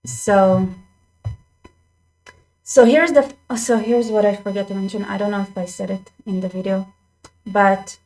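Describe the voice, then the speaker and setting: A woman's voice: mid-range voice, steady tone, unhurried, long pauses, darkish tone, rough recording. Chatty woman talking to viewers. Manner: chatty, restarts often, addresses viewers directly. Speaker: channel host. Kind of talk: casual commentary to camera. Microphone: desk microphone.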